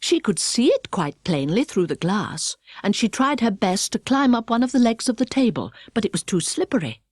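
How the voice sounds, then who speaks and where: A middle-aged British woman reads a storybook as an audiobook narrator. Her pitch is moderate but moves with great animation, and her phrasing is precise and expressive. The recording is close and very dry. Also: normal speed, no room sound. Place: dry studio.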